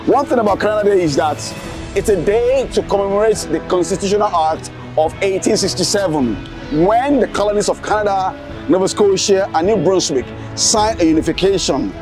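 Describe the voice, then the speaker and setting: An upbeat man with a high voice, hosting street interviews outdoors. He keeps things lively and repeats his guests' answers back to them. Music plays clearly behind him. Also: normal speed.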